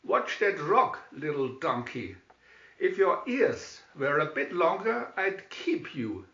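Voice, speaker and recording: Storybook friar, played by a man: stout, hearty, mock-pious trickster, raised bright voice. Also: fairly high pitch, very animated, takes it slowly, full character voice. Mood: teasing. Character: jolly, stout, sly male friar, holy and teasing. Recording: slightly dull recording in echoey room.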